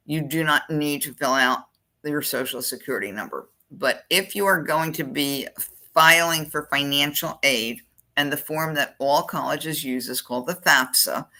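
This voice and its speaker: A college admissions counselor leads a screen-share tutorial with a gravelly, creaky edge and a bright, forward tone. The delivery is chatty, with thinking aloud while clicking through form fields. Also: medium pitch.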